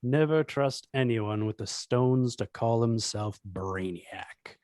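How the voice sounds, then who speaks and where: A man's voice: mid-range voice, slow pace, darkish tone, dry recording, close to an everyday voice. Man as ruthless scheming tycoon villain, smug and commanding, close dry sound, table-read delivery. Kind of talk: amateur script table read.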